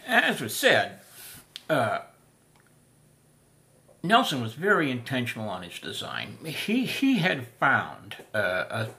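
An older man talking through the history of a piece of camping gear in a folksy, unhurried way, with many filler sounds. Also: mid-range voice, wildly swooping pitch, gravelly, pushed and bright. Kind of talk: casual spoken history lesson. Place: small room indoors.

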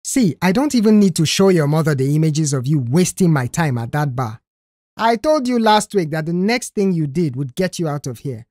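A woman's voice: low voice, sing-song, moderate pace, dark tone, no room sound, clean studio sound. A woman playing a stern, fed-up male boss and uncle in an audio story, scolding with authority.

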